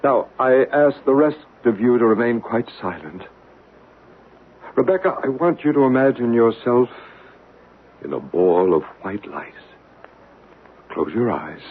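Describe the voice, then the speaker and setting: An actor playing a male psychic who guides a séance in slow, hushed tones and narrates the story, in a 1970s radio drama. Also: medium pitch, expressive, breathy edge. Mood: soothing. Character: calm, soothing male psychic guiding a séance. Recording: narrow, hissy old radio broadcast.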